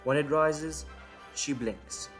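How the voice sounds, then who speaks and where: A man's voice: a little high, slow pace, faint music behind. A man reading scripted story narration as the narrator of an audio drama.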